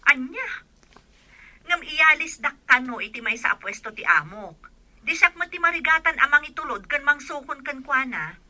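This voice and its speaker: A woman's voice: sits high, lively intonation, normal speed, pushed and bright, full character voice. A woman acting a concerned, firm aunt in a radio drama. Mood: insistent. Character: concerned, firm aunt looking after child.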